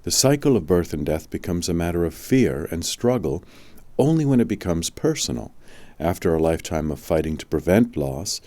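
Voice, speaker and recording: A man narrating a spiritual audiobook in a measured, deliberate scripted style. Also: deep voice, sing-song, warm tone, no room sound.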